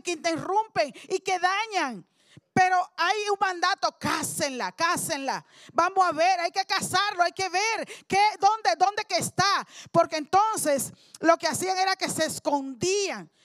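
A woman's voice: extremely high pitch, unhurried, fairly bright tone, dry recording, clean studio sound. A woman preacher delivering a sermon, emphatic and repeating key words for effect.

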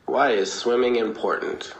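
A man's voice: medium pitch, fairly even intonation, breathy edge, dull audio, voice pushed up. A man playing a formal male examiner. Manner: brisk, formal questioning.

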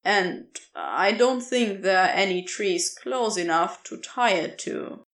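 A woman's voice: mid-range voice, slow pace, fairly bright tone, roomy sound, pitched below the performer's own voice. A woman voicing a scrawny, worn older male thief with a wry, casual manner, in a storybook reading. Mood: sheepish. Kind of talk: audiobook character voice in fantasy romance.

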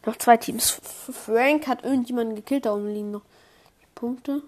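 A young woman commenting on her own online match, excitable, swinging from low muttering to high squeals. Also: fairly quick. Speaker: gamer streamer. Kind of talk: live gameplay commentary.

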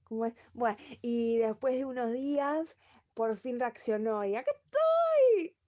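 A woman's voice: on the high side, sing-song, a little slow, mellow, lo-fi sound. A woman playing a forgetful, chatty middle-aged Argentine woman who rambles through a voice message in a comic radio play. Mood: scatterbrained, chirpy. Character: scatterbrained, chatty middle-aged woman greeting old classmates.

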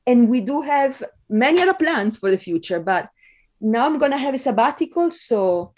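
A middle-aged woman from Italy with an Italian accent, giving conversational interview answers with frequent ums and pauses. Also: high voice, expressive, darkish tone. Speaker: interview guest, film scholar.

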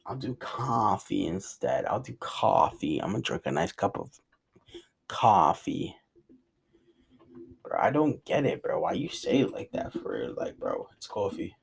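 A man's voice: low voice, big pitch swings, measured pace, vocal fry, breathy edge. A man with a New York and New Jersey accent, rambling casually in slangy vlog chat from his room. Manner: slangy, rambling, says bro a lot. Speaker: vlogger. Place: bedroom in a rented cabin.

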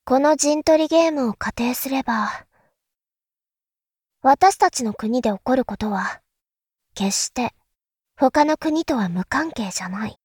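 Woman doing calm mysterious big sister in a voice drama, high voice, talks real slow n deliberate, kinda teacherly. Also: breathy edge, no echo, clean studio sound, exaggerated voice. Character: calm, enigmatic elder sister musing darkly about world affairs. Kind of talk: Japanese audio drama acting.

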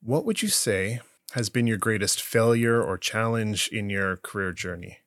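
A man's voice: on the low side, lively intonation, moderate pace, fairly bright tone, no echo, studio quality. A man hosting a podcast, asking interview questions and reacting with short encouraging words. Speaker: podcast host.

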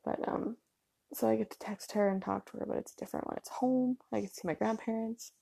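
A woman's voice: a little high, fairly even intonation, fairly quick, creaky, mellow, slightly rough, dry recording. A young woman from Canada with a stuffy nose, rambling casually at home with lots of fillers and apologies. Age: young adult. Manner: chatty, self-deprecating, filler-heavy with ums and likes.

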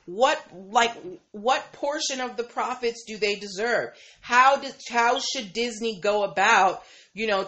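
Woman, live-stream host, casual chat, medium pitch, filler-heavy, keeps asking "right?". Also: a bit bright. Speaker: live-stream host reading viewer comments.

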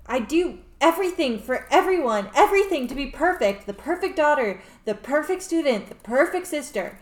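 With room echo around her, a woman acts an earnest teenage perfectionist in a radio drama. Her voice is fairly high and youthful. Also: animated, normal speed. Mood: defiant, hurt. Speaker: amateur radio play actor. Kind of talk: amateur radio play reading. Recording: roomy, echoing room.

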